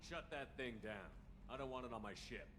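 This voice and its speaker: A man's voice: mid-range voice, normal speed, background noise, lightly acted. A man playing a blunt, domineering and distrustful spaceship commander in a video game. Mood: cold, stern. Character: curt, hard-edged male military spaceship commander, distrustful and domineering. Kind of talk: video game dialogue acting.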